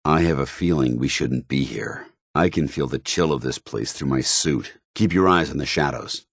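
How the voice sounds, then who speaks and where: An actor playing a stern, disciplined armored soldier in a video game, speaking in formal, clipped military phrases. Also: male voice, rumbling low, normal speed, husky, no room sound, studio quality, exaggerated voice. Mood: grave. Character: stern, dutiful armored soldier paladin, grown man, devout and disciplined. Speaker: companion character. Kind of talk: scripted video game dialogue.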